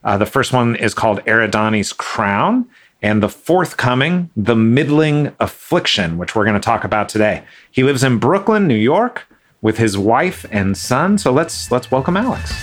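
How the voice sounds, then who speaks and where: A man hosting a casual interview podcast, his voice pitched in the ordinary middle range. He talks in a friendly, chatty way, full of fillers and small restarts as he introduces his guest and asks questions. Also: very animated, normal speed. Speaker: podcast host.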